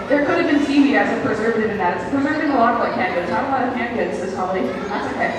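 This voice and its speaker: A woman giving a live, conversational educational presentation at an aquarium, putting questions to her audience. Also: sits high. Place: large public aquarium exhibit hall, amplified. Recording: echoey hall, far from mic, background noise.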